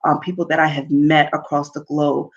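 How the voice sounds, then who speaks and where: Woman hosting an online talk, earnest and encouraging, pretty even tone, kinda muffled lo-fi sound. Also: deep voice, normal speed. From Nigeria and New Jersey, US. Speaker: talk show host and entrepreneur. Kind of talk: informal personal talk to an online audience.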